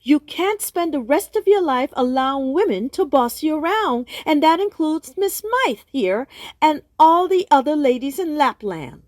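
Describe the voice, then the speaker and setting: A woman's voice: high-pitched, lively intonation, a little mellow, no echo, studio quality. A woman playing a firm, no-nonsense doctor counselling Santa in a comic radio play. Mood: stern, insistent. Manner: assertive, deliberate, probing.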